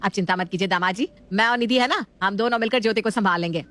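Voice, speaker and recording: A woman's voice: medium pitch, quick pace, fairly bright tone, close to an everyday voice. A woman voicing a doting, emotional middle-aged mother in a family story, fussing and emphatic.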